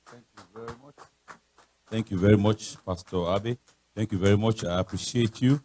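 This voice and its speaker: Nigerian guy, middle aged, big loud low voice, chatty church talk, mellow tone, pretty dry sound. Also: normal speed, a little hiss or hum. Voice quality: loud, booming voice. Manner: chatty, anecdotal, repeats phrases. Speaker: guest speaker at a church. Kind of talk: informal motivational talk to a congregation. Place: church podium.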